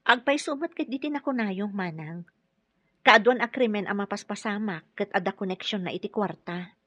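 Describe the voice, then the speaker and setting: A woman's voice: medium pitch, normal speed, fairly bright tone, dry recording, lo-fi sound, lightly acted. An actress playing an earnest, polite nurse and single mother in a radio drama, slightly hesitant and questioning, with a Filipino accent. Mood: curious. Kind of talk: Ilocano radio drama acting.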